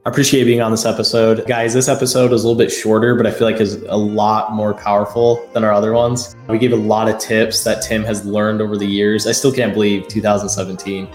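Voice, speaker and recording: A man's voice: on the low side, level tone, a little fast, hardly any pauses, a little mellow, reverberant, faint music behind. A man hosting a podcast interview, chatting casually and upbeat, with plenty of filler "like"s. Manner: upbeat, says "like" often. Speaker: podcast host.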